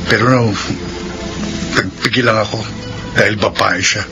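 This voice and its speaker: A man's voice: lowish voice, fairly even intonation, measured pace. An actor playing a caring, protective young husband and father in a Filipino radio drama. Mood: annoyed, restrained. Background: music.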